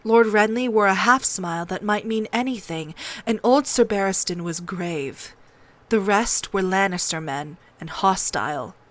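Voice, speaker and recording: A woman's voice: medium pitch, a bit bright. A woman reading scripted fiction as an audiobook narrator, in a dramatic storytelling style.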